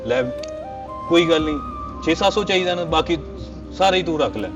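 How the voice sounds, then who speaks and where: A man plays an earnest, soft-hearted friend with a fairly high voice and a Pakistani Punjabi accent. The delivery is chatty, everyday drama dialogue, with music playing behind it. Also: animated, a little fast, dull audio, lightly acted. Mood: compassionate. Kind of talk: casual amateur drama dialogue.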